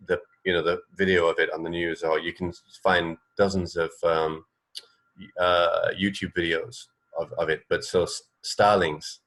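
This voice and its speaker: A man with a low-pitched voice co-hosts a casual radio chat. He talks through trivia informally, pausing and restarting as he thinks aloud. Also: fairly bright tone. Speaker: radio show co-host.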